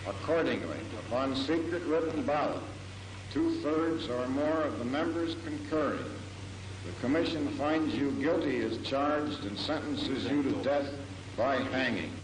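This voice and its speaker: A man's voice: mid-range voice, measured pace. Distant echoing man reading a formal verdict. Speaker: military officer reading a verdict. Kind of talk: formal read-out legal judgment. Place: courtroom. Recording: distant, echoey, noisy 1940s courtroom recording.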